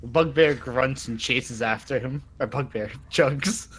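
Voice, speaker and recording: A man's voice: medium pitch, slow pace, a bit bright, husky. A man playing a tabletop game, bantering and clowning casually with friends.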